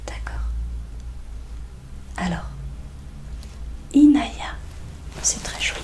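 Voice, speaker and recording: A woman doing a soft-spoken, whispered ASMR roleplay as a veterinarian, speaking gently and reassuringly with hesitant pauses. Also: a little high, lively intonation.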